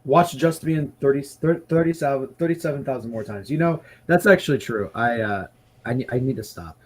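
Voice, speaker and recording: A man in his twenties hosting a casual chat, excitable and quick, swearing freely. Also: medium pitch, husky. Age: 20s. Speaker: podcast host.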